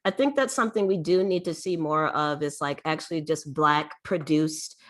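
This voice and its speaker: Young woman, low-pitched, animated, filler-heavy, video call. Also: normal speed. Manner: animated, lots of filler likes, laughs. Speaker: interviewer. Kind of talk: casual interview chat.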